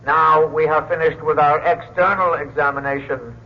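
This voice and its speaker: A man's voice: a little high, fairly even intonation, normal speed, close to an everyday voice. A man playing a cold, formal alien examiner in an old radio drama, speaking with clinical precision. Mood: clinical menace. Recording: muffled lo-fi old radio recording.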